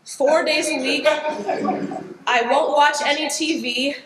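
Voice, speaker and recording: A very high, bright female voice, distant on an echoey stage. A woman acts out a flustered, exasperated character in live improv comedy, speaking slowly and hesitantly. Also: fairly even intonation. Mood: bargaining. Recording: echoey stage, distant mic, some noise.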